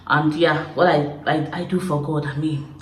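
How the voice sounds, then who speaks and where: A young woman from Nigeria with an Igbo accent, casually telling stories to camera in an expressive, chatty way. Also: low-pitched, moderate pace, reverberant. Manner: chatty, expressive, laughing storyteller. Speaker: YouTuber. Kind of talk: casual confessional chat to camera.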